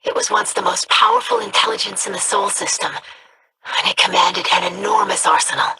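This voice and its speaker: A woman's voice: mid-range voice, wildly swooping pitch, moderate pace, gravelly, pushed and bright, slight background noise, full character voice, poor audio. An actress playing an earnest, polite young female alien scholar. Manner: polite, formal.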